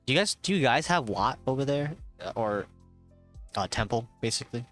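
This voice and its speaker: A man's voice: mid-range voice, expressive, a little fast, a bit bright. A young man of Thai heritage chatting casually on a live stream, reading chat aloud, swearing and laughing often. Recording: faint music behind.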